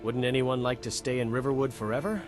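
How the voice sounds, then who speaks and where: A man voicing a friendly, wistful male wood-elf companion in a fantasy game, speaking in a measured, poetic storytelling manner. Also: medium pitch, fairly even intonation, over music, lightly acted. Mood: reminiscing. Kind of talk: fantasy game character dialogue.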